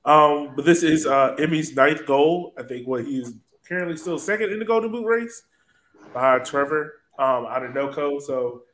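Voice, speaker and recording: A man's voice: on the high side, moderate pace, a bit bright. Playful man hosting over noticeable noise. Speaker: podcast host. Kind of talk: casual sports podcast chat.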